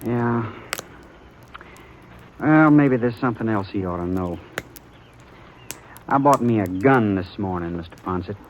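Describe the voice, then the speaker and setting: An American man playing a nervous, earnest, proud young suitor in a 1950s radio western, speaking with halting, tense rustic politeness. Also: fairly low pitch, lively intonation. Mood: grim, resolute. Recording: dull, faintly noisy old radio broadcast.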